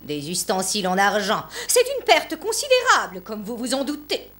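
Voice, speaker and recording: A woman's voice: medium pitch, huge pitch swings, normal speed, a bit bright. A woman voicing a stern, greedy, haughty headmistress in a cartoon drama, speaking in formal, severe phrases. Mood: cold, menacing. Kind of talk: animated drama dubbing.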